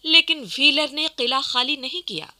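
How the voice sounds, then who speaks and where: A woman's voice: sits high, normal speed, pushed and bright. A woman narrating a historical radio play in an emphatic, formal storytelling manner from a script.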